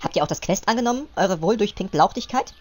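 A woman's voice: mid-range voice, expressive, quick pace, lightly acted. A woman voicing a servile, dim gnome adviser, hesitant and mock-deferential, in an amateur parody audio play.

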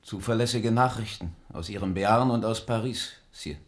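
A man's voice: lowish voice, normal speed, close to an everyday voice. An actor playing a sober, loyal adviser to a king in a radio drama. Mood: grave, deferential. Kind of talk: 1970s German radio drama acting.

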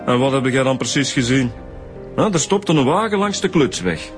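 Probing male police inspector, played by an actor, Flemish, animated delivery, polite, radio drama with music bed. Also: mid-range voice, normal speed, lightly acted. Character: earnest, probing male police inspector, eager junior partner.

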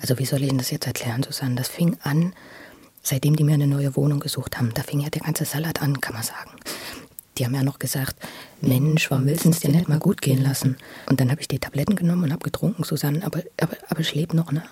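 A person telling personal memories in a spontaneous, confiding way, halting and often repeating words. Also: medium pitch, fairly even intonation, warm tone, slightly rough. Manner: halting, repetitive, earnest, confiding. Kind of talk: intimate spontaneous storytelling in a documentary radio play.

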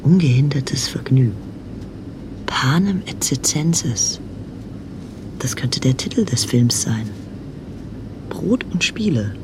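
A disillusioned filmmaker narrates his quest in a radio drama. His voice is mid-pitched with a gravelly edge, reflective and questioning, over clear rumbling noise. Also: animated, slow pace, pauses often. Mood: questioning, brooding.

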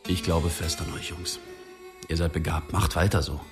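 An actor playing an earnest, measured male English teacher who advises his pupils in a radio drama. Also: low-pitched, steady tone, natural voice. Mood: encouraging. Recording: music behind the voice.

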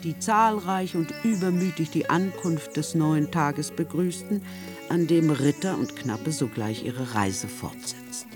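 A narrator reading literary prose for a radio play in a measured storytelling manner. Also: mid-range voice, lively intonation, over music.

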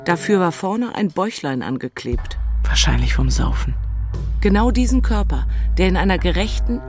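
A woman's voice: on the low side, very animated, moderate pace, darkish tone, soft background music. An actress playing a lovelorn, weepy and sardonic single woman from the city in a dramatic audiobook. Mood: agitated. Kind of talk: theatrical audiobook monologue and dialogue.

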